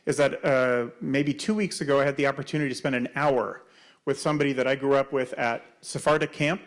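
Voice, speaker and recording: A man presenting at a community panel, answering audience questions in a halting, explanatory way. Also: medium pitch, fairly even intonation. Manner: explanatory, many fillers and restarts.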